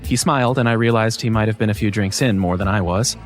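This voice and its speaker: A man narrating a first-person scripted story in a measured, wry way. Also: fairly low pitch, soft background music.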